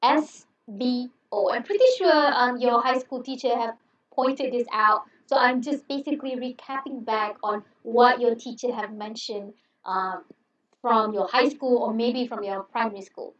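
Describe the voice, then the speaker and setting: A young Malay-native woman gives a chatty university English lecture with plenty of "um"s and reassurances. Her voice sits a little high. The sound is roomy and dull, as if she were set back from the mic. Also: fairly even intonation, measured pace, distant mic. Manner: friendly, chatty, reassuring with many fillers. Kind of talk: recorded online lecture. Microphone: headset.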